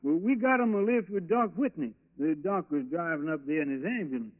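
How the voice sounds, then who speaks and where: Male actor for obliging small-town mechanic, higher register, talkative old radio style, narrow-band vintage recording. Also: expressive, a little fast, a little hiss or hum, close to an everyday voice. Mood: chatty, helpful. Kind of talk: 1940s radio drama acting. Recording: muffled, echoing old radio broadcast recording.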